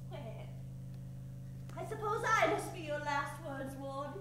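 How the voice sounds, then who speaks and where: A woman playing a vengeful, mocking madwoman with drawn-out, theatrical delivery in an old-time radio horror play. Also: high voice, expressive, background noise. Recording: echoey stage with music underneath.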